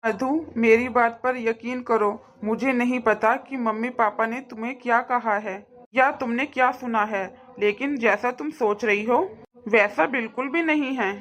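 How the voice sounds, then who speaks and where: A woman's voice: fairly high pitch, steady tone, a little slow, close to an everyday voice. A woman voicing a supportive, firm young husband in a cartoon family drama, earnest and reassuring.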